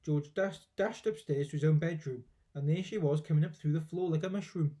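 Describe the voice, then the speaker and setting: Mellow, higher-pitched male storyteller. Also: fairly quick. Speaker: children's story reader. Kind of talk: expressive storybook narration.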